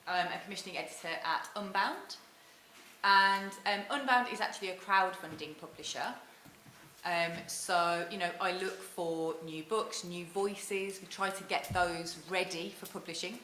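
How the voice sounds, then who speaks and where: A woman editor speaks on a stage panel, her voice bright and clear. The theatre gives it a roomy echo with light background noise. She talks in a friendly, measured way and pauses with ums. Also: on the low side. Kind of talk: panel talk.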